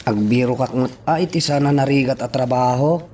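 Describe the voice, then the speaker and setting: A man's voice: medium pitch, a little fast, a little hiss or hum, close to an everyday voice. A man playing an eager young man in a radio drama. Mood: eager, insistent. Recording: loud music under the voice.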